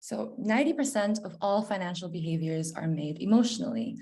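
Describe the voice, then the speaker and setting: Female guest speaker from Malaysia, twenties, warm dark timbre, informal conversational talk from home. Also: on the low side, expressive, fairly quick. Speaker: guest presenter, researcher. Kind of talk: informal online presentation. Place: living room at home, indoors. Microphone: video call, laptop.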